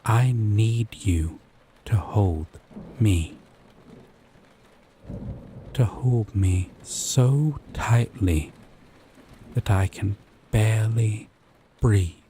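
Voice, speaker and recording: Gentle yandere boyfriend, acted by a man: low, very slow, intimate, possessive, rain behind. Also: slight background noise. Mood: pleading, yearning. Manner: slow, halting, tender, breathy pauses. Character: gentle, obsessive, possessive yandere lover from a dating sim. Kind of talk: intimate romantic audio roleplay. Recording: rain and thunder ambience behind. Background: rain, thunderstorm.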